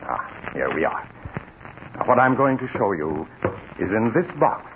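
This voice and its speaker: A man playing an earnest, secretive laboratory assistant in 1940s radio suspense style, speaking in a deliberate, formal way. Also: lowish voice, sing-song, over music. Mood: measured, explaining. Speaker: actor in a radio drama. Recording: muffled, noisy 1940s radio recording.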